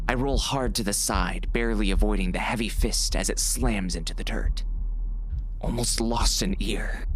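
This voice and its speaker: A man playing a grim, wry bounty hunter who narrates a western audiobook in a gravelly, hard-boiled way. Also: fairly low pitch, fairly bright tone, a little hiss or hum, low-quality recording. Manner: hard-boiled, sardonic, intense. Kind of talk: first-person scripted audiobook narration.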